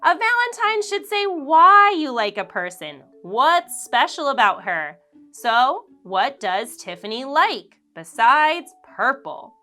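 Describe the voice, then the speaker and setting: Woman doing a cheerful schoolgirl, high and bouncy, encouraging, lively swoops, storybook voice. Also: slow, soft background music, exaggerated voice. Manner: bright, encouraging, bubbly. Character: cheerful helpful young schoolgirl.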